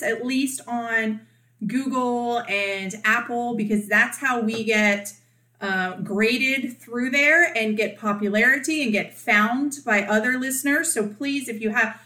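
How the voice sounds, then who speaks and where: A woman co-hosting a podcast in casual chat, talkative and quick to laugh, often acting out what others said. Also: on the high side, sharp tone, echoey room. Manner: chatty, laughs often, acts out quoted voices. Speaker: podcast co-host.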